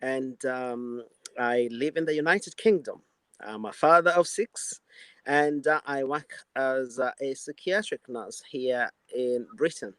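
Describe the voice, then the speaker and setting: A man's voice: medium pitch, takes it slowly, fairly bright tone, clean tone. A man joining an interview by phone, rambling with many fillers and restarts. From Kenya. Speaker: guest. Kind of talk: casual interview.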